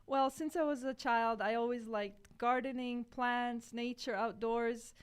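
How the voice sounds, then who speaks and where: A woman's voice: fairly high pitch, steady tone, measured pace, smooth. A woman from Poland whose first language is Polish, co-hosting a radio podcast and explaining things earnestly with some hesitation. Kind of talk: casual chat.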